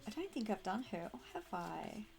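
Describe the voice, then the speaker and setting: A woman with a slightly croaky throat chatting casually and bubbly to viewers while live streaming a game, affectionate and quick to giggle. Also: mid-range voice, sing-song, a little mellow. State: croaky throat, needs clearing. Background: music.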